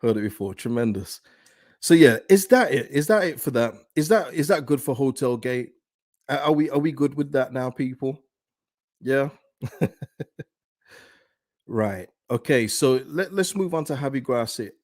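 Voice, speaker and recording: A man with a deep, soothing voice hosting a football fan channel, chatting in a casual, rambling way as he talks with viewers. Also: animated, normal speed, pauses often, darkish tone, no echo, clean studio sound. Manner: conversational, rambling. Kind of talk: casual livestream chat, reading and answering viewer comments.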